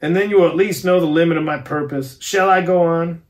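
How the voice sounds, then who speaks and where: A man voicing a grave, solemn old professor who pleads in slow, formal and earnest speech. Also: a little high, steady tone, lightly acted. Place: garage.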